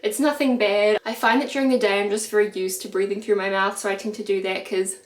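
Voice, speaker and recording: A woman's voice: mid-range voice, fairly even intonation, fast. Young NZ woman, congested nasal voice, casual filler-heavy chat, echoey kitchen. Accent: New Zealand. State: congested, stuffy nose. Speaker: vlogger. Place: home kitchen. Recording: echoey room.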